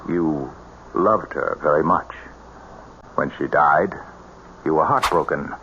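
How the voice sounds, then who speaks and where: An actor playing an earnest, compassionate middle-aged American doctor in an old-time radio drama, speaking in a measured way with small hesitations. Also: male voice, rumbling low, bright, forceful tone, exaggerated voice. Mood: gently probing. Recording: muffled, noisy old radio drama recording.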